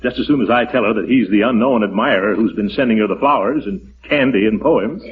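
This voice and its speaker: An actor playing a pompous, easily flustered middle-aged uncle in a 1940s American radio comedy, with a theatrical, chuckling delivery. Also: male voice, lowish voice, quick pace, lightly acted. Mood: pleased, scheming. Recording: dull, noisy old radio recording.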